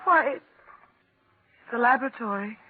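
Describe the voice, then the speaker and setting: An actress playing an anxious, exhausted scientist's wife in a 1940s radio drama. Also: female voice, on the high side, lively intonation, normal speed, lightly acted. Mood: uneasy. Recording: tinny 1940s radio recording, music behind.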